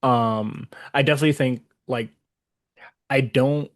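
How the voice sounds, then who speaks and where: A man's voice: medium pitch, fairly even intonation, measured pace. A man co-hosting a wrestling podcast in casual chat, laid-back and profane, laughing often. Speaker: podcast co-host.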